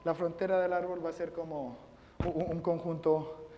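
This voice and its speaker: A man giving a math lecture, halting and often repeating his words. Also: on the high side, level tone, mellow, slight background noise. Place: lecture room. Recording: reverberant lecture hall.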